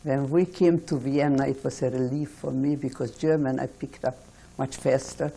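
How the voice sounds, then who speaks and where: A Hungarian-born woman in her eighties, recalling her life slowly and haltingly in an oral history interview. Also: extremely low pitch, fairly even intonation, vocal fry, dark tone, a little hiss or hum. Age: 80s. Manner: slow, halting recollection with restarts.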